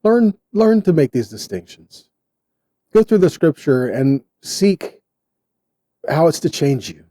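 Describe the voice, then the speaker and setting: Very dark, mellow-voiced man preaching. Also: fairly high pitch, big pitch swings, takes it slowly, long pauses, no echo. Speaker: Bible teacher and preacher. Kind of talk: sermon-style Bible teaching.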